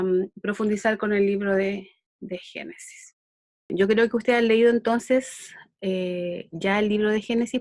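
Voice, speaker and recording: A middle-aged woman with a Chilean accent giving an online Bible lesson, unhurried and full of fillers and restarts. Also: mid-range voice, no echo. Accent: Chilean Spanish. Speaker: Bible school teacher.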